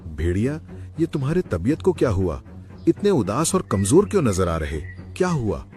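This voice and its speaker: Man as cartoon lion king, animated pitch, kindly, regal, trusting, light music underneath. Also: normal speed. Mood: sympathetic. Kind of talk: Hindi animated moral story acting.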